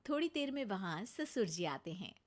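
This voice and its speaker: A woman's voice: mid-range voice, very animated, normal speed. A woman narrator reading a scripted cartoon family story.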